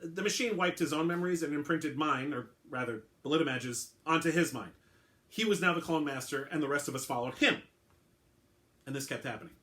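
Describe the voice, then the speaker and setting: A man's voice: a little high, brisk, a bit bright, voice pushed up, natural voice. A man voicing a middle-aged male clone henchman, earnest and rattled, who keeps correcting himself as he confesses in a story reading. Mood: confessing. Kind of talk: dramatic reading of a short story.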